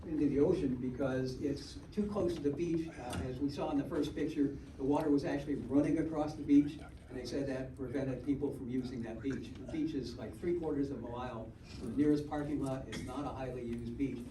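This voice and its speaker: Man, mid-pitched voice, informative answers, echoey hall, background noise. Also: fairly even intonation, a little fast, few pauses, warm tone. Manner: informative, with frequent uh and um. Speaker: meeting speaker answering questions. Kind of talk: public meeting Q&A answer. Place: community meeting hall. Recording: echoey, distant, noisy meeting room.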